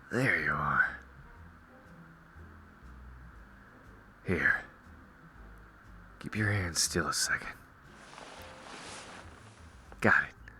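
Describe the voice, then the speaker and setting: Male actor as a cowboy ranger, slow delivery, bright forceful edge, wry and teasing, roleplay audio drama. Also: sits low, heavily acted. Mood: calm, reassuring. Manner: slow, drawling, wry, reassuring. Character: calm, cocky gunslinging cowboy ranger, teasing but protective.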